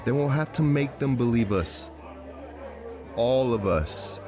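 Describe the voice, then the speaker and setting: A man's voice: mid-range voice, animated, takes it slowly. A man playing a cocky, defiant operative in a naturalistic drama, brash and dismissive. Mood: defensive. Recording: music under dialogue.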